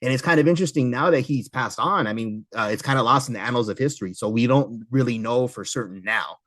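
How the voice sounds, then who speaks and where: A man's voice: medium pitch, fast talker, a bit bright, no room sound. A man hosting a podcast, chatting casually and explaining things in a conversational way. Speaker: podcast host. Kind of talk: casual chat about comic books.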